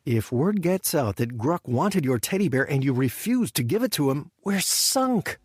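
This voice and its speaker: An actor playing a practical, exasperated presidential aide in a comedic audio drama, insistent yet deferential. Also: male voice, on the high side, big pitch swings, moderate pace, breathy edge, no echo, studio quality. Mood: urgent, insistent.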